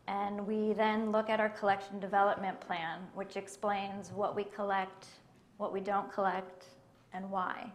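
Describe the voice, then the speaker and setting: A woman with a quiet voice, speaking on a panel at a library event in a measured way, with frequent ums. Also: medium pitch, slight background noise. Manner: soft-spoken and measured, with many ums. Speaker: library collection manager on a panel. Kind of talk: panel discussion answers.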